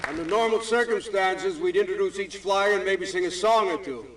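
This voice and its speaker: A man playing a brisk, authoritative Navy commanding officer giving clipped briefings in a video game. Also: sits high, crowd noise, close to an everyday voice. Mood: formal, proud. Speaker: actor in a video game cutscene. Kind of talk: military briefing dialogue.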